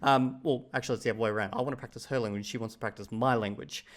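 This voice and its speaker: Man, Australian accent, casual commentary, opinionated asides, mid pitch. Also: very fast. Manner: relaxed, opinionated, chatty asides. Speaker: channel host reviewing a video.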